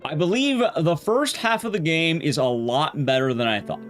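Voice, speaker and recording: A man's voice: a little high, expressive, normal speed, a bit bright, faint music behind. A man streaming a game, chatting casually in a rambling, blunt, conversational way with his viewers. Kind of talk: casual live-stream chat while gaming.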